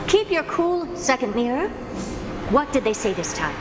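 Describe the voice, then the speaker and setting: A woman's voice: big pitch swings, normal speed. An actress voicing a haughty, determined woman scientist in a video game, imperious and theatrical as she muses aloud. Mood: commanding, uneasy. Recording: echoey hall reverb, loud rumbling background noise.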